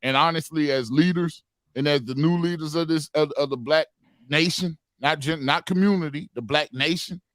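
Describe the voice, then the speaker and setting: A man's voice: a little high, normal speed, a bit bright, no room sound. Earnest man in his forties, dry sound. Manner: earnest, repeats phrases for emphasis. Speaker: podcast panelist. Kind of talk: heated group podcast discussion.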